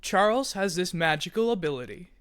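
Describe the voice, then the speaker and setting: A man's voice: sits high, very animated, fairly quick, fairly bright tone, clean tone, dry recording, clean studio sound. A man hosting and explaining to listeners in a conversational, playful way with theatrical emphasis. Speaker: host, writer and creator of an audio drama.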